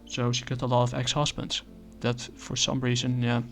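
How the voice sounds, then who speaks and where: Halting man reviewing comics, flat delivery. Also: medium pitch, vocal fry, faint music behind. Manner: halting, many fillers and restarts. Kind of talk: casual spoken review.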